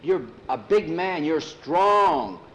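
Man playing an urgent, high-voiced plucky boy. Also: very animated, slow pace, a bit bright, a little hiss or hum, full character voice. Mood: urgent coaxing. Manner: urgent, coaxing.

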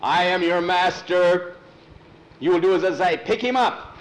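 A man's voice: sits high, unhurried, pitched above the performer's own voice, low-quality recording. A man voicing an evil, shriveled old talking skull, a commanding and scheming master in a told story.

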